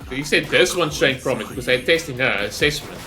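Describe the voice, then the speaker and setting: A man with an average-pitched voice gives casual reaction commentary as a gaming video host. He rambles and thinks aloud, often tagging a quick check onto his sentences. Music with guitar plays behind him. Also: lively intonation, bright, forceful tone.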